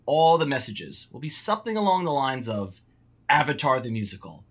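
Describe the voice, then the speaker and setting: A man playing a polished marketing executive, delivering an earnest sales pitch in a scripted audio comedy. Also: very animated, normal speed. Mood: salesmanlike. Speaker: marketing company founder. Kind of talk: scripted presentation.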